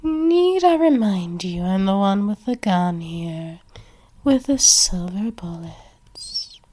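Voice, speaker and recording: Woman doing asmr roleplay acting, really unhurried delivery. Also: mid-range voice, expressive, mellow.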